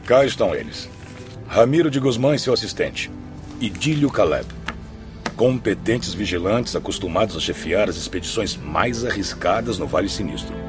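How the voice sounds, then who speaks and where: A man plays a composed hospital director in a radio play. His voice is deep and formal, giving orders with calm authority over prominent music. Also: normal speed, natural voice. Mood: composed, commanding. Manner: formal, commanding. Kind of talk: radio drama acting.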